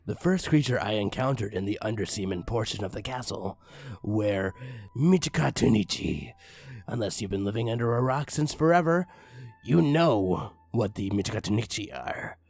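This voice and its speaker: A man's voice: on the low side, gravelly, darkish tone, husky. A man giving a comedic, mock-dramatic creepypasta narration with crude, swooping emphasis. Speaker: narrator, online story reader.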